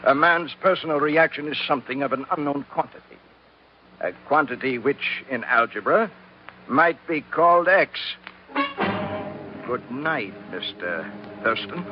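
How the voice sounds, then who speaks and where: An actor playing a coldly menacing plantation manager, clipped and formal, in 1940s radio drama style. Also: male voice, mid-range voice, close to an everyday voice. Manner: clipped, formal, veiled threats. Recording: muffled, noisy old radio broadcast.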